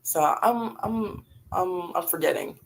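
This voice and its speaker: A woman with a raspy voice talking casually and reflectively on a livestream while grieving, in halting phrases that sometimes trail off. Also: lowish voice. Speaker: livestream host. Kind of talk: casual, reflective livestream talk about faith and grief.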